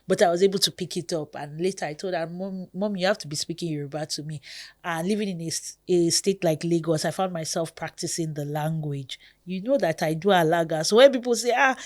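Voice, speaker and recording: A woman in her thirties from Nigeria with a Nigerian accent, hosting a podcast in a chatty, expressive way. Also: fairly low pitch, a little fast. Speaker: podcast host. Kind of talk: casual podcast monologue.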